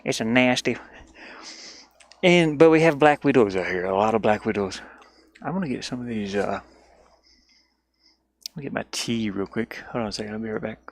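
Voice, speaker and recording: A man's voice: fairly low pitch, moderate pace, long pauses. A man chatting casually as a livestreamer and game developer, rambling playfully and easily sidetracked. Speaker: streamer and indie game developer. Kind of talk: casual livestream chat while working. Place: inside a van.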